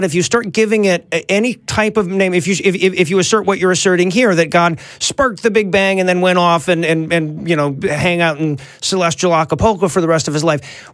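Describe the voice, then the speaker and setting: A man's voice: high-pitched, no room sound. A man co-hosting a live call-in show, explaining his argument conversationally with a wry edge.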